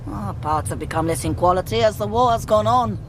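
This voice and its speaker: A guarded wartime German engineer played by a woman in a radio play. She speaks formally and precisely in a German-accented, medium-pitched voice. Loud ambience runs behind her. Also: brisk, background noise, lightly acted. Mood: matter-of-fact. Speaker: actress in a radio drama. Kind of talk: BBC radio drama acting.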